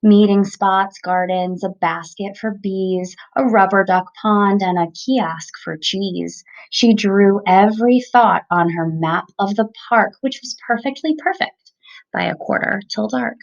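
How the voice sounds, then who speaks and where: A woman reading a children's picture book aloud, with expressive, emphatic phrasing for young listeners. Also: medium pitch, unhurried, vocal fry. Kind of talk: children's storybook read-aloud. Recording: dull lo-fi recording.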